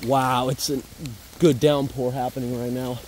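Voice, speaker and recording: A man's voice: mid-range voice, little pitch movement, normal speed, a little mellow. A man giving casual, off-the-cuff vlog commentary as he explores abandoned buildings. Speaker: urban explorer vlogger. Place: abandoned buildings and outdoors in rain. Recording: noisy on-location camera audio with ambience. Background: rain and ambient noise.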